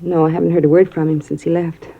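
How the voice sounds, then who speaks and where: An actress playing a shaken, worried mother in her forties with a quiet, gravelly way of speaking, in a 1950s radio drama. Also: deep voice, little pitch movement, fast, full character voice. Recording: dull lo-fi 1950s radio broadcast.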